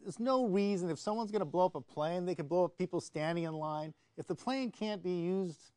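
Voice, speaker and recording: A man's voice: sits high, brisk, darkish tone. An American man co-hosting a political talk show, insistent and often repeating his words as he argues. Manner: insistent, stammering repetition of phrases. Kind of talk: opinionated political discussion.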